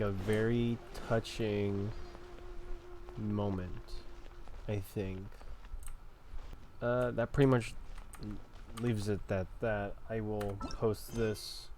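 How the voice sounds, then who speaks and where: A man's voice: medium pitch, a little mellow, slight background noise. A man giving casual gameplay commentary as a streamer, hesitant and informal.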